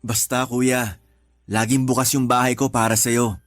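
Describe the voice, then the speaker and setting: A man playing a devoted, supportive younger brother in a radio drama, conversational, reassuring and given to gentle teasing. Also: mid-range voice, level tone, a little slow, dry recording, natural voice. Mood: light, teasing. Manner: earnest, reassuring, lightly teasing. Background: background music.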